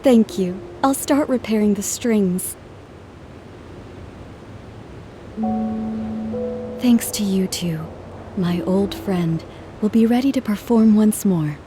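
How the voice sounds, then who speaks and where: Woman acting a female traveling musician, mellow tone, polite, measured, earnest, careworn, game cutscene with music behind. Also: medium pitch, lightly acted. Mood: grateful, gentle.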